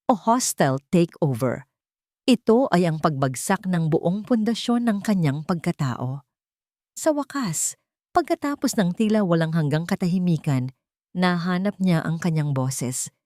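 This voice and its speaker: A woman with a Filipino accent reading scripted, dramatic love-story narration in a measured storytelling manner. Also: fairly low pitch, a little mellow, dry recording, clean studio sound.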